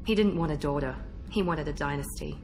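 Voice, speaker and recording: An actress playing a guarded, proud engineered operative in a video game, speaking in a measured, self-assured way over background music. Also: female voice, low-pitched, creaky, a little mellow, a little hiss or hum. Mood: guarded, reflective. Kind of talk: video game dialogue acting.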